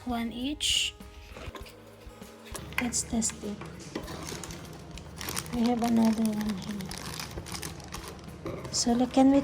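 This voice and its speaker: A woman vlogger with a slightly high voice walks through a product in a casual way. She takes it very slowly, explaining each step in simple words and repeating herself. Music plays clearly behind her. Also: darkish tone. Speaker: childcare educator. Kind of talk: casual unboxing and demonstration. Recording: background music.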